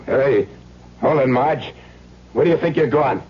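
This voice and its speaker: A man's voice: medium pitch, measured pace, slightly rough. An actor playing a hardened, desperate ex-convict who talks in menacing tough-guy slang in a radio thriller. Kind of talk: old-time radio thriller acting. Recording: dull vintage radio recording.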